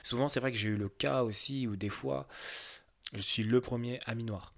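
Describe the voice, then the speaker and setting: A man's voice: medium pitch, steady tone, normal speed, gravelly. A young man from France answering interview questions candidly, hesitant, with many fillers and restarts.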